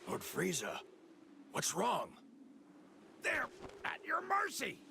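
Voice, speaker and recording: A man's voice: high voice, huge pitch swings, slow, vocal fry, background noise, full character voice. A man playing a fawning, theatrical alien henchman in an anime fighting game, servile to his master and bombastic. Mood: alarmed, loyal. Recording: music behind the voice.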